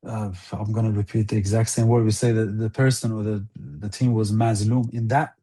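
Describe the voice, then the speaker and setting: A man giving an earnest interview as a guest, speaking deliberately and haltingly, repeating phrases for emphasis. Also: fairly low pitch, flat delivery, warm tone, breathy edge. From outside Iran. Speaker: interview guest, former national football team interpreter.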